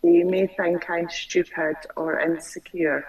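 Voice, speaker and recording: A woman client who stutters, slowly repeating phrases after her coach over a video call. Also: fairly low pitch, very animated, vocal fry, a little mellow, distant mic, muffled recording.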